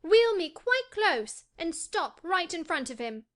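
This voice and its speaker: A woman plays a frail young boy in a storybook reading, his voice pitched high. He is excitable and imperious, speaking like a little invalid used to being obeyed. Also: normal speed. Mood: commanding. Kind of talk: audiobook character voice.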